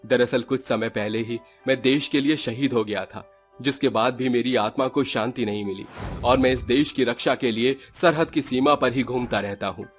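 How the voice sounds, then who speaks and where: Guy voicing a soldier ghost in a cartoon story, calm and protective, faint music under it. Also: medium pitch, normal speed, close to an everyday voice. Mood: solemn. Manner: solemn, protective, speaking calmly. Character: brave dead soldier's ghost, young man, kindly. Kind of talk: storybook character voice.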